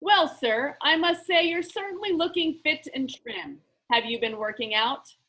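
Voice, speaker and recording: A woman's voice: high voice, lively intonation, a little slow, pushed and bright, pitched above the performer's own voice, full character voice. Woman putting on a smug, over-polite boy. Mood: cheerful, flattering. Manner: smooth-talking, boastful, mock-innocent. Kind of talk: children's book read-aloud.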